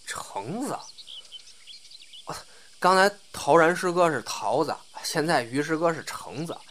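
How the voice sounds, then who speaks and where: A man's voice: on the high side, animated, measured pace, a bit bright, a little hiss or hum. A man playing a polite but teasing young newcomer to a comedy troupe in an audio drama. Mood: hesitant, wry.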